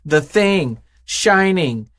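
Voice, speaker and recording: A man's voice: high voice, animated, drawn-out words, a bit bright, a little hiss or hum. A man speaking as an interview guest in casual, unscripted chat, effusive and emphatic, often thinking aloud.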